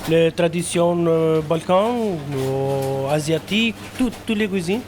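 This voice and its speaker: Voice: medium pitch, takes it slowly. A volunteer cook answers in short, broken French, a little away from the microphone. Noise runs steadily behind the voice. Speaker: kitchen volunteer. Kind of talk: interview answers. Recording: noisy room, speaker off mic.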